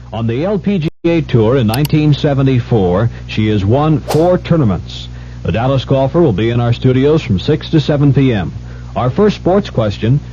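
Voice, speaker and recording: A man's voice: medium pitch, warm tone. A man announcing a 1970s radio sports promo in a scripted broadcast style. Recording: muffled old radio broadcast with hum.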